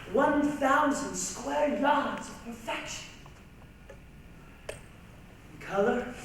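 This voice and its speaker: A woman narrating dramatically on stage with deliberate, declamatory phrasing. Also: on the high side, far from the mic. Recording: echoey hall from afar, faint hiss.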